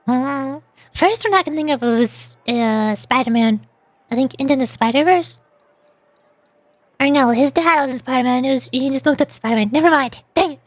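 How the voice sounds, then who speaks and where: A woman with a high voice chats casually on a livestream. She rambles and restarts her thoughts in a giggly way. Music plays behind her. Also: normal speed, no echo. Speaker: streamer and voice actor.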